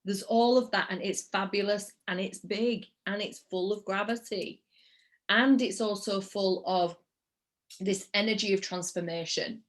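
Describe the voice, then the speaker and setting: A woman's voice: mid-range voice, steady tone, a little slow. A woman from Yorkshire with a Yorkshire accent, hosting a podcast interview in an effusive, casual way and repeating words for emphasis. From England, Yorkshire. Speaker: podcast host.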